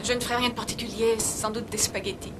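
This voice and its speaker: A woman's voice: fairly high pitch, fairly even intonation, fast talker, background noise, natural voice. A female actor playing a self-possessed landlady in French-dubbed thriller film dialogue, direct, polite and conversational. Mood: friendly, curious. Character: self-possessed, friendly but brisk American landlady.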